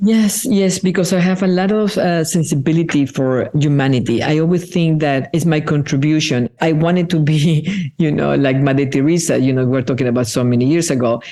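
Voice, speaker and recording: Mellow-voiced woman. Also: low-pitched. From Peru. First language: Spanish. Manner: thoughtful, earnest, often slows down to weigh her words. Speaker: artist being interviewed. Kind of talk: reflective interview answers.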